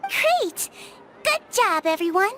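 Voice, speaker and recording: Very high, sweet girlish nurse. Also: sing-song, slow pace, noisy background, full character voice. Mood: bright, reassuring. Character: small, kindly young head nurse, calm and earnest. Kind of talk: scripted video game dialogue. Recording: music under the voice.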